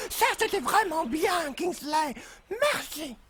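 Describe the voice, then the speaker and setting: A performer voicing an excitable, chatty, blunt cartoon beaver in an exaggerated, repetitive way for a French children's cartoon. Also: very high voice, slow pace, faint music behind, heavily acted. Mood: teasing, blunt.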